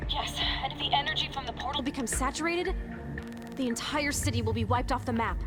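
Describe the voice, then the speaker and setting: A woman's voice: sits high, brisk, lightly acted. A woman playing a concerned young helper to the heroes in a video game, earnest and urgent. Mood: alarmed. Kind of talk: video game character acting. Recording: loud music under the voice.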